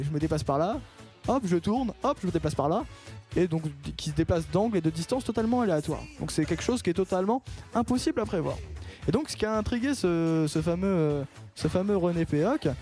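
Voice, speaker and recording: A man's voice: fairly high pitch, dark tone. A young man hosting a casual student radio show, rambling with many restarts and fillers. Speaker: radio show host and columnist.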